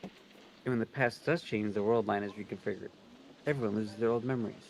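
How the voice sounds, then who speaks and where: A man's voice: on the low side, steady tone, brisk, gravelly, slight background noise, low-quality recording. A man co-reading a visual novel on a livestream, moving between read lines and casual commentary. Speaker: co-reader on a game livestream.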